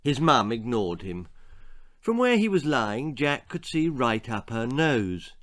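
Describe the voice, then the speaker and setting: A male author narrates a children's tale with theatrical flair. His pitch swings widely, and he takes his time over each phrase. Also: sing-song, slow pace, a bit bright. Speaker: author reading his own story. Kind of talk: expressive children's storytelling, scripted narration.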